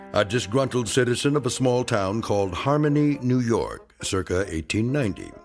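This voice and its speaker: A man narrating an eerie radio anthology as a wry, composed storyteller with a measured, dramatic scripted delivery. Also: on the low side.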